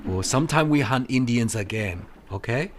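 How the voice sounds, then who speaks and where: An actor playing a genial, humble Chinese detective in an audio mystery drama, speaking formal, clipped broken English full of polite sayings. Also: male voice, mid-range voice, expressive, moderate pace, a little hiss or hum, close to an everyday voice. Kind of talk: audio re-creation of a 1930s mystery film.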